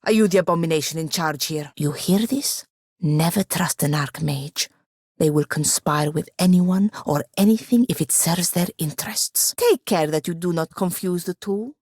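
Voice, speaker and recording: Young female wizard apprentice, low creaky voice, sardonic, haughty, precise, fantasy game dialogue. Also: moderate pace, dry recording. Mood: disdainful. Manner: dry, haughty, precise, sharp-tongued. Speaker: video game companion character.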